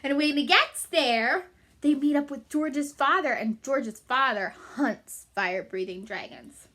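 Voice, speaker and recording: A woman storyteller with a high, bright voice. She addresses young listeners in an animated, emphatic read-aloud style with chatty asides. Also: unhurried. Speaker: storyteller reading to children.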